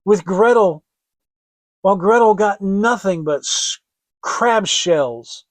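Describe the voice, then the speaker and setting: A man giving an expressive, theatrical audiobook reading of a fairy tale as storyteller. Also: sits high, slow pace, no echo.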